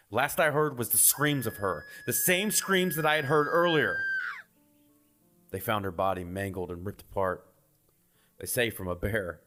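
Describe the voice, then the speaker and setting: A man with a low voice narrates a scripted horror tale in the first person. He reads it as a storyteller would, over faint background music. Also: fairly bright tone. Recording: music behind the voice.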